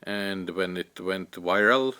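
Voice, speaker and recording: A young man who grew up in Finland with Ukrainian as his first language, speaking haltingly in a documentary interview with many fillers and restarts as he searches for words. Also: low-pitched, sharp tone, clean tone. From Ukraine, raised in Finland. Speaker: interviewee, cellist and filmmaker.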